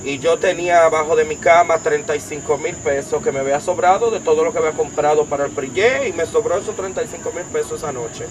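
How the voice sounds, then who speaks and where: A young man with a Dominican accent, giving an emphatic personal testimony as an interview guest, piling up details in long rapid lists. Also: fairly high pitch, noisy background. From Dominican Republic. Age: young adult.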